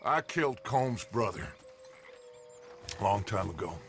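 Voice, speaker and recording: Slow-spoken, eloquent outlaw leader. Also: male voice, fairly low pitch, lively intonation. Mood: brooding, resolute. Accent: American. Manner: grandiloquent, persuasive, measured. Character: eloquent idealistic middle-aged male outlaw gang leader. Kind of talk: dramatic video game acting. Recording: loud music and horse hooves behind.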